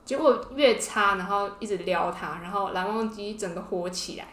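A woman's voice: medium pitch, normal speed, fairly bright tone. A woman with a Taiwanese Mandarin accent co-hosting a podcast in casual, gushing fangirl chat. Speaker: podcast co-host. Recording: roomy indoor echo.

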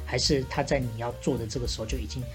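一位台灣腔中文的女性播客主持人，閒聊中帶點調侃，又像老師一樣解釋用語。. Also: female voice, extremely low pitch, fairly even intonation, racing, music in the background. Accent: Taiwanese Mandarin. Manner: teasing, instructive. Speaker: podcast co-host, bartender persona. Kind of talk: casual chat, explaining slang.

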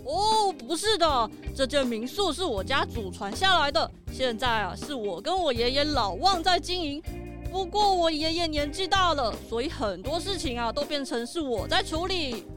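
A woman's voice: high-pitched, animated, normal speed, music in the background. A woman voicing a young, polite, hospitable puppy innkeeper with courteous manners in a children's mystery audio drama. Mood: polite explaining. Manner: courteous, welcoming.

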